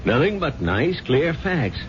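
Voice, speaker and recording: An actor playing a brusque, sarcastic newspaper editor in a radio drama. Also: male voice, a little high, very animated, slow. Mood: scornful. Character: gruff, scornful male newspaper editor boss. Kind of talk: 1970s radio drama acting. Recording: dull vintage radio recording, faint noise.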